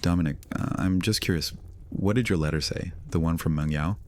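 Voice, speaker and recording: A volatile young vampire leader, played by a man, with an extremely deep, low voice. He broods, then turns cold and threatening. There is a faint hiss behind him. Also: brisk, natural voice. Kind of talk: audio drama acting.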